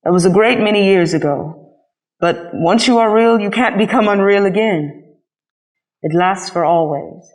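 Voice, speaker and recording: A wise old toy horse from a children's storybook, read by a woman. It explains things patiently and gently. The manner is truthful and kindly. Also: medium pitch, normal speed, lightly acted. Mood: reflective. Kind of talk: storybook character voice.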